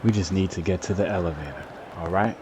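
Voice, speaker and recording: An actor playing a calm, protective man looking after a woman on the run in an audio drama, reassuring and low-key. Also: fast, heavy background noise, natural voice. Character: calm, protective male companion of a fugitive.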